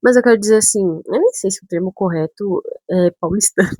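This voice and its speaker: A woman in her twenties from São Paulo, chatting casually to camera as a vlogger. She tells a story in an animated way, full of asides and self-corrections, with touches of a northern Brazilian accent. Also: medium pitch, moderate pace, slight background noise. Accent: northern Brazilian touches mixed with São Paulo speech. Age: 20s.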